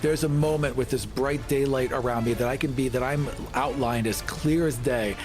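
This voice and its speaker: A man's voice: mid-range voice, fairly even intonation, normal speed. Man playing a tabletop game, music behind. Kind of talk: casual in-game narration.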